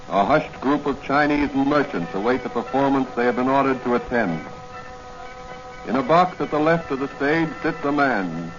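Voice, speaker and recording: An actor narrating a radio mystery serial in a solemn, suspenseful 1940s announcer style. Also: male voice, medium pitch, lightly acted. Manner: dramatic, measured, suspenseful. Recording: muffled 1940 radio recording. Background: dramatic music.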